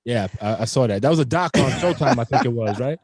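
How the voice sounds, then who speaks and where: A man's voice: medium pitch, animated, fast, a little mellow. A man hosting a podcast, introducing a guest in a loose, enthusiastic style full of hip hop slang, speaking into a studio mic at home. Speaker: podcast host. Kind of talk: casual podcast chat. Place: home room with framed records. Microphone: studio mic on boom arm with headphones.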